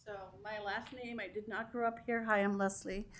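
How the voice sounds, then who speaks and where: A woman's voice: mid-range voice, flat delivery, normal speed, a bit bright. A woman from Belgium, giving a direct, persuasive public comment at a council meeting. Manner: direct, persuasive, rhetorical questions. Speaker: school committee president. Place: council chamber.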